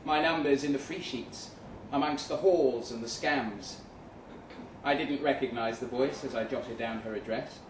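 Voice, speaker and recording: A man reading a first-person short story aloud dramatically, with measured delivery and deliberate pauses. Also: mid-range voice. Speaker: short story reader at a live event. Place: event room in a pub. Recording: roomy, distant mic with faint noise.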